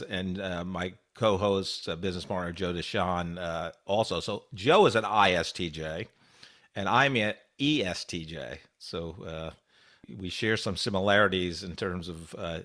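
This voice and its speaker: A man hosting a podcast interview, eager and halting, with lots of fillers. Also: deep voice, fairly bright tone. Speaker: podcast host.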